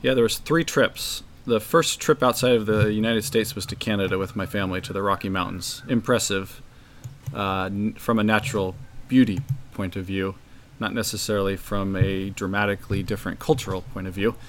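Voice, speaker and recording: A man's voice: fairly low pitch, steady tone, fairly quick, a little hiss or hum. A man in his forties from California, a travel blogger answering interview questions in short, matter-of-fact sentences with fillers.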